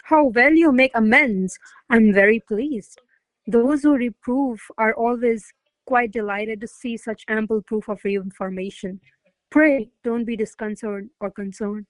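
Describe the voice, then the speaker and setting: Woman as imperious aristocratic lady for a classical radio drama: higher voice, jealous, formal, close dry sound. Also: measured pace, no echo, lightly acted, low-quality recording. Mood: coolly gracious. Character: haughty noble lady, jealous, commanding mistress. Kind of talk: stage-style radio play acting of classical verse.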